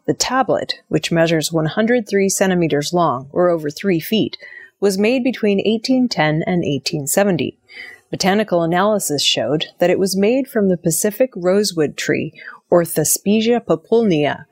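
A woman's voice: on the low side, darkish tone, no room sound, studio quality. A woman reading scripted news stories as a narrator. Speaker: news reader.

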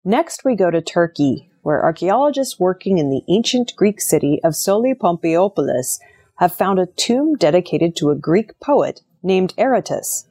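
A woman with a lowish, husky voice reads prepared news items. She narrates in a scripted news style, with a roughened edge to her tone. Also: very animated, normal speed, dark tone, faint music behind. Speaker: news reader.

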